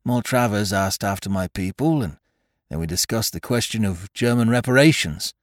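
A man's voice: lowish voice, normal speed, no room sound, studio quality, natural voice. A man voicing an affable, well-bred young army captain in an audiobook, with a clipped and casual manner. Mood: offhand. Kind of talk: audiobook character voice.

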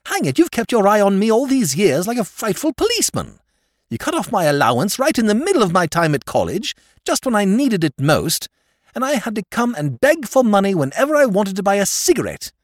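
A man voicing a petulant young aristocrat who rants in shrill, old-fashioned upper-class slang. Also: no echo, clean studio sound.

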